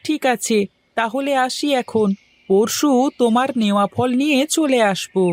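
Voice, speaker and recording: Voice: mid-range voice, normal speed, natural voice. A voice actor playing an easygoing young village man in a cartoon, chatting good-naturedly. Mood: chatty. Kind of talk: cartoon voice acting.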